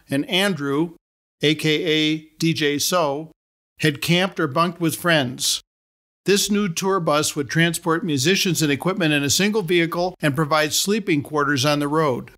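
A middle-aged man reading his own story aloud as a podcast host, in a measured, wry storytelling manner. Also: a little high, pushed and bright. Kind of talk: scripted storytelling narration.

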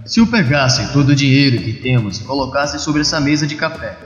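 A man's voice: medium pitch, expressive, brisk, lightly acted. A man voicing a commanding, dryly condescending older tycoon in a comic-book dub. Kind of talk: dubbed comic-book dialogue. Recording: echoey, muffled, music behind.

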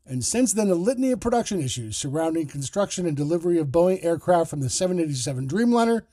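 A man hosting a news podcast, reading a scripted report in a measured, explanatory way. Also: fairly high pitch, mellow, no echo, studio quality.

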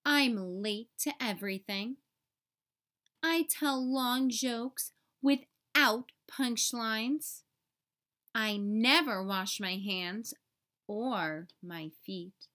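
A woman voicing a grumpy, self-confessed bad sunflower seed in a children's storybook, with drawn-out, emphatic confessions. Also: on the high side, sharp tone, heavily acted. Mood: grumpy, boastful. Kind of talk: children's storybook read-aloud.

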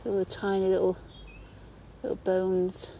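A woman's voice: mid-range voice, completely flat delivery, a little slow, gravelly, mellow, slight background noise. A woman narrating a casual nature walk vlog outdoors, musing in short phrases full of wonder. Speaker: vlogger. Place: outdoors in woodland.

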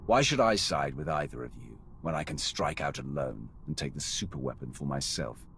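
An actor playing an arrogant, cold Sith warrior commander in a video game, with an imperious, threatening delivery. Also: male voice, expressive, normal speed, fairly bright tone, a little hiss or hum, full character voice. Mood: cold threat. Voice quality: deep, gravelly. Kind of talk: video game cutscene acting.

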